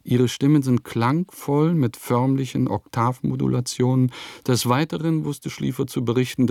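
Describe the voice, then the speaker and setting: A man's voice: mid-range voice, vocal fry, darkish tone, no room sound, clean studio sound. An actor playing an eccentric composer who reads his diary aloud in a radio play, in terse, old-fashioned literary German diction.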